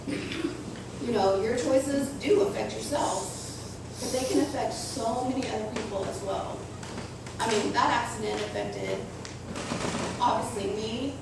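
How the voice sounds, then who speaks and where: A woman with a raspy voice speaks earnestly to schoolchildren in a slightly high register. The sound is distant and boomy, caught in a hard, echoing school hall with steady noise underneath. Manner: earnest, slow and deliberate with children. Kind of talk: personal talk on choices. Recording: echoey room, distant mic, noisy.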